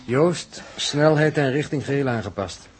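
An actor playing a precise, dryly confident spaceship navigator with clipped, factual delivery in a 1960s radio drama. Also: male voice, mid-range voice, unhurried, lightly acted. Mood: dry confidence. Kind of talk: 1960s Dutch science fiction radio drama acting. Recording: faintly noisy 1960s radio recording.

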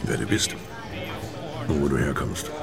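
An actor playing a middle-aged, gruff, world-weary debt collector who is dying, terse and guarded, in a noir crime radio drama. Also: male voice, rumbling low, animated, a little slow, exaggerated voice. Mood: cold, guarded. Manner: terse, hard-boiled, guarded. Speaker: narrator and lead actor. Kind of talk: noir crime radio drama, first-person narration and dialogue. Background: music behind the voice.

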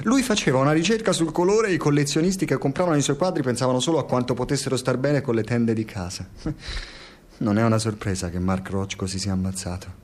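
A wordy, insecure researcher in a naturalistic radio drama. He has an Italian accent and rambles from one thought to the next, needling whoever he is talking to with an intellectual, slightly nervous manner. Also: medium pitch.

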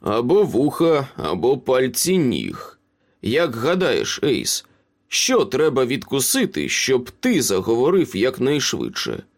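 Man playing a wry, menacing wizard, lively delivery. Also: mid-range voice, slow, full character voice. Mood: playful menace. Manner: mocking, casually threatening.

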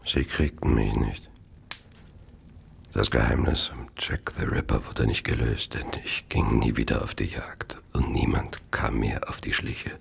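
An actor playing an ailing, remorseful murderer in a radio drama, confessing wearily in a gravelly, whispery voice. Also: male voice, very deep voice, fairly even intonation, exaggerated voice. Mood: bitter, spent. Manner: slow, weary, tormented confession.

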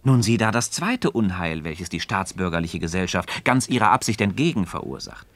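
An actor playing an earnest philosopher in a literary radio dialogue, speaking formally and didactically, building each point with emphasis. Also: male voice, low-pitched, lively intonation, moderate pace, no room sound. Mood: emphatic, reasoning. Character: earnest, insistent male philosopher leading a Socratic dialogue. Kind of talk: German literary radio play dialogue, formal stage diction.

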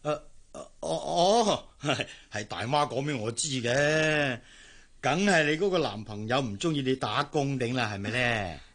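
A man's voice: mid-range voice, lively intonation, measured pace, fairly bright tone. An actor playing a kindly, fussy older male servant in a Hong Kong Cantonese radio drama, chatty and coaxing. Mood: surprised, coaxing.

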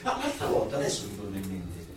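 An actor playing a curious but guarded older customer in a radio drama, polite, hesitant and evasive. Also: male voice, deep voice, huge pitch swings, fast talker. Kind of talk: naturalistic radio drama acting. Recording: very echoey, slightly distant, music behind.

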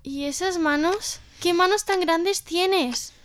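A woman's voice: very high voice, slow pace, a little hiss or hum. A woman playing an innocent, polite little girl in an audio fairy tale, earnest and trusting. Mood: puzzled, wary.

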